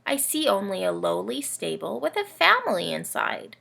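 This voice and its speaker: A woman voicing a melodramatic, vain, self-pitying male camel in a children's storybook, with drawn-out, theatrical delivery. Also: medium pitch, very animated, creaky, bright, forceful tone, exaggerated voice. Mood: weary, confused.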